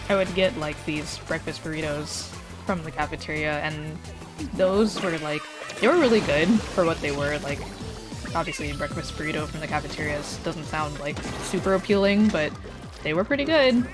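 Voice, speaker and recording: Low-voiced young woman streamer, easygoing. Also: normal speed. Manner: relaxed, easygoing, says "like" often. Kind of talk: casual chat while gaming. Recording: loud game music behind. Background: game music.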